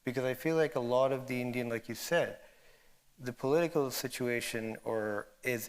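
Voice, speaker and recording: A man moderating an academic discussion, asking long unscripted questions in a halting, thoughtful way. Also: medium pitch, rough recording.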